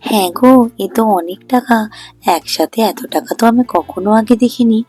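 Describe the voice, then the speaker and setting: A woman's voice: a little high, moderate pace, a little mellow, breathy edge, dry recording, faint music behind. A woman playing a greedy, scheming milkman's wife in a fairy-tale cartoon, coaxing and scornful.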